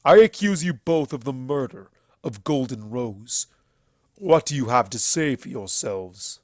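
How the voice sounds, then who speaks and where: A man's voice: mid-range voice, animated, measured pace, darkish tone, no room sound, close to an everyday voice. A man voicing a bitter, contemptuous alicorn stallion in a storybook reading, curt and accusing in manner. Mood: accusing, angry. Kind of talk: fan fiction audiobook character acting.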